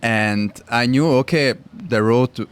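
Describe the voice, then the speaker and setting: A man's voice: mid-range voice, takes it slowly, clear, resonant tone. A young man from Switzerland with Croatian roots, a non-native English speaker, being interviewed on radio and laughing easily. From Switzerland, Croatian family. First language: not English. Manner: relaxed, laughs often, simple non-native phrasing. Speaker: guest cyclist.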